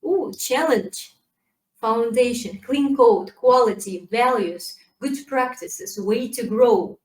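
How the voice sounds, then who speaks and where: A woman with a slightly high voice gives a lively, casual conference presentation, chatty and full of fillers. She is heard from a distance in a roomy space through a laptop mic. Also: takes it slowly. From Kazakhstan. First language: Kazakh. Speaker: community manager giving a talk.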